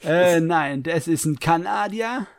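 Male co-host, gravelly and a touch high, dry jokey banter. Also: slow pace. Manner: joking, wry, laughs between remarks. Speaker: podcast co-host. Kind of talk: casual podcast chat.

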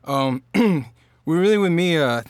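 A man's voice: fairly high pitch, slow pace, clean tone, no echo, studio quality. A man from Norfolk, Virginia, a singer-songwriter guest talking casually on a podcast, loose and rambling with lots of fillers. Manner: relaxed, rambling with many fillers and restarts.

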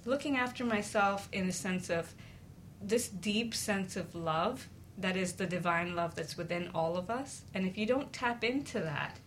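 A woman's voice: mid-range voice, unhurried, fairly bright tone, slight background noise. A woman co-hosting a talk show, sharing personal experience in an earnest, confiding, conversational way.

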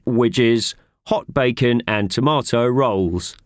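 A man voicing a loud train announcer in a children's storybook, declaiming drawn-out lists. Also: mid-range voice, slight background noise, voice pushed up, close to an everyday voice. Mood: droning announcement. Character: loud train announcer reeling off stops and snacks.

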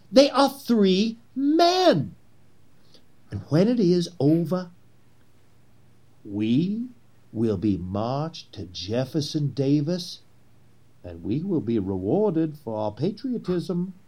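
Guy doing zealous confederate plotter in a period drama, husky, theatrical, very animated pitch, takes his time. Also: heavily acted. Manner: theatrical, urgent, oratorical. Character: fervent, persuasive Confederate conspirator, a zealous man of 1865. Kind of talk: period historical drama acting.

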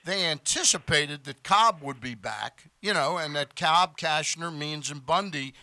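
Bright-voiced man talking baseball on radio. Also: fairly high pitch, lively intonation, unhurried, dry recording. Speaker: sports radio show host. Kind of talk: casual baseball discussion.

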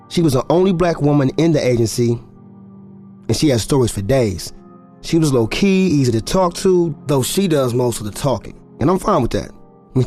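A man's voice: mid-range voice, darkish tone, natural voice. An actor playing a guarded young football player in his twenties, confiding in a conversational, slightly hesitant audio drama narration.

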